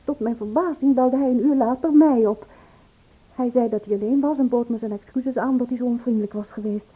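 A woman's voice: on the high side, a little fast, husky, narrow, tinny audio. An actress playing a nervous, evasive woman, hesitant and defensive, in an old radio play recording. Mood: nervous confession. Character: Yves, nervous, evasive woman confessing reluctantly.

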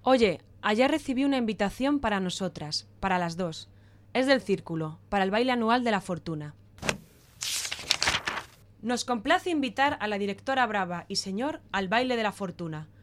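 A woman's voice: mid-range voice, expressive, normal speed, slight background noise, lightly acted. A woman playing a domineering, stingy director and head of household in a radio drama, curt and dismissive. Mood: matter-of-fact.

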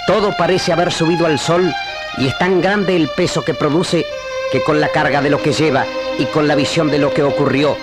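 A man's voice: a little high, steady tone, moderate pace. A man giving solemn, poetic, declamatory narration for a radio drama. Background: music.

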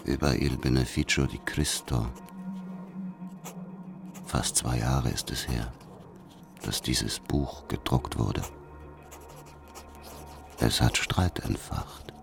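An actor playing a grave, secretive spy reading out his diary in a radio drama, measured and ominous. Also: extremely low pitch, fairly even intonation, creaky, heavily acted. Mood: brooding, ominous. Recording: music under the voice.

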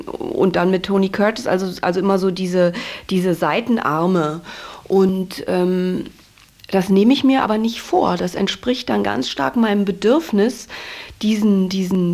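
A woman in her forties with a fairly low voice talks off the cuff in an interview. She feels her way through her sentences, pausing and repeating words as she goes. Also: a little mellow. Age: 40s. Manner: halting, searching for words, repeating herself. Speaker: actress interviewee. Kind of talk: spontaneous interview talk.

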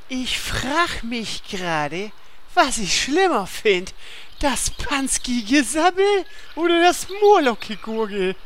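A woman's voice: fairly high pitch, very animated, slow, slight background noise. A woman playing an annoyed, sarcastic adventuring companion in a fantasy parody radio play, drawling her remarks.

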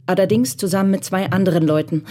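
A woman's voice: lowish voice, fast talker, dark tone, close to an everyday voice. A woman narrating a crime radio drama as a composed, detached storyteller. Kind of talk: scripted literary narration in a radio play.

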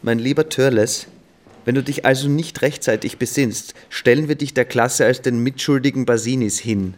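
An actor playing a menacing, condescending teenage boarding-school bully in a radio play, speaking in a measured, superior way. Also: male voice, medium pitch, lightly acted. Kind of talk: German radio play acting.

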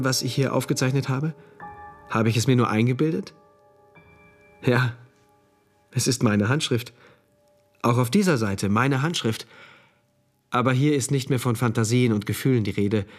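Guy acting a detached diary writer in a radio play, measured n introspective, bit of music behind. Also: medium pitch, close to an everyday voice. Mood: questioning. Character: introspective male diarist engineer, detached and obedient citizen. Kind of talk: first-person radio drama narration.

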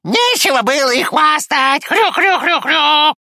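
Мужчина изображает очень писклявую сказочную зверушку. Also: male voice, very high voice, animated, measured pace, pushed and bright, dry recording, studio quality, voice pushed up, exaggerated voice. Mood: mocking, gleeful. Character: mocking, gloomy female pig and duck, high-voiced animals. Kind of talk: expressive children's storybook reading.

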